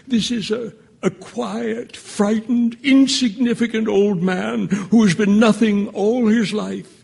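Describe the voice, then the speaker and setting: A frail old juror in a stage-style audio drama. His voice is high for a man and very gravelly. He speaks slowly and haltingly, stammering with gentle earnestness. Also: steady tone, exaggerated voice. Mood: halting, sorrowful. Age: older. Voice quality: thin, creaky, husky.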